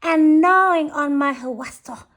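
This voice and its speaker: A woman's voice: sits high, slow pace, fairly bright tone, pitched above the performer's own voice. A woman voicing small, indignant chihuahua friends in a storybook, complaining in an exaggerated way.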